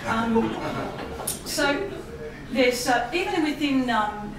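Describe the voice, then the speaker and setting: A woman with an Australian accent giving a lively public talk about voice work, laughing often and mimicking accents. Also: a little high, slow, far from the mic, noisy background. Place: embassy hall. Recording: echoey hall audio from afar.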